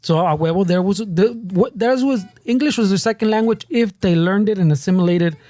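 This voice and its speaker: A man's voice: high voice, a little fast, dark tone, no echo. A man hosting a casual podcast chat, rambling and restarting as he switches between Spanish and English and swears freely. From United States. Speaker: podcast host.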